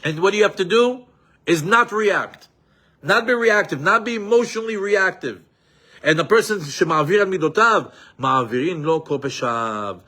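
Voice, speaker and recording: A man teaches a Torah class in an animated, emphatic way. His voice sits somewhat high for a man. He quotes Hebrew texts and then explains them in English. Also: normal speed. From Israel, raised in New York.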